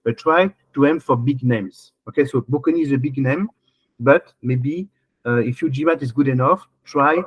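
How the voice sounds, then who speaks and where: A man's voice: mid-range voice, normal speed, breathy edge, no echo, highs cut off. A man, hosting a webinar and coaching applicants with rambling, repeated questions over a video call. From France. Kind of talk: casual webinar Q&A.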